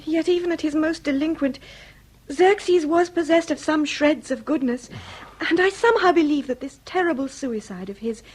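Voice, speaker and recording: A high woman's voice, acted as a genteel aristocratic lady in period radio melodrama. She is effusive and emotional, tender and torn, with formal phrasing. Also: moderate pace, close to an everyday voice, rough recording. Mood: tender, wistful.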